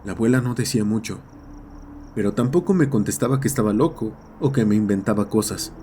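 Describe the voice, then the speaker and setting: A man's voice: fairly low pitch, fairly quick, mellow, a little hiss or hum. A man reading a scripted horror story as its narrator.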